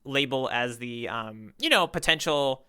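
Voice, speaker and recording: A man's voice: medium pitch, animated, unhurried, bright, forceful tone, clean tone. A man co-hosting a podcast, chatting casually about manga in an earnest, playful way. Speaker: podcast co-host.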